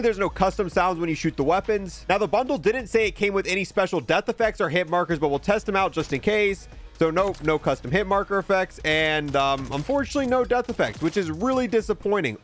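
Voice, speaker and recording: A man's voice: sits high, quick pace, hardly any pauses, faint music behind. A man hosting a gaming video, giving casual showcase commentary on a video game.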